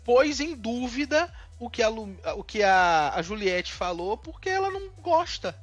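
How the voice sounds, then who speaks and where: A man's voice: extremely high pitch, very animated, measured pace, a bit bright, no echo, faint music behind. A man from Northeast Brazil, co-hosting a casual commentary chat and airing strong opinions with frequent restarts. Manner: talkative, many restarts, indignant opinions. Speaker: co-host.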